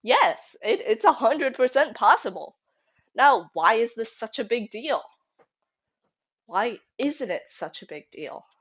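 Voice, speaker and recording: A woman's voice: on the high side, sing-song, a little slow, a bit bright. A young woman giving casual fan commentary, conversational and emphatic, thinking aloud as she goes. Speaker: fan theory video creator. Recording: slightly dull lo-fi home recording.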